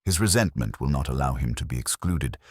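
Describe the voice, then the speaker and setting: A man's voice: a bass voice, a little fast, husky, dry recording, clean studio sound, full character voice. A man playing a stern, calculating older detective with a heavy voice and formal, commanding delivery in a mystery story. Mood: grave, commanding. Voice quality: heavy, weighty. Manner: formal, flat, commanding pronouncements. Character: stern, calculating older male detective genius, imperious and unhurried.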